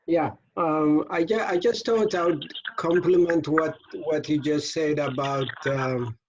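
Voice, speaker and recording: A man from Ethiopia with an Ethiopian accent, earnestly offering a halting, self-correcting comment at a virtual meeting. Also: a little high. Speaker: club member. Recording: dull call audio with static noise.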